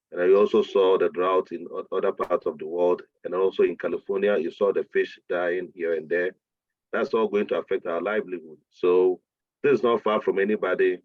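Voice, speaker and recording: Ghanaian man, deep voice, tinny line. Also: dry recording. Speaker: meeting participant. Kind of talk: discussion contribution.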